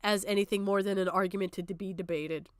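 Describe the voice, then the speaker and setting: A woman's voice: medium pitch, steady tone, quick pace, no room sound, clean studio sound. A woman streamer giving live reaction commentary in a combative, sarcastic way, swearing heavily.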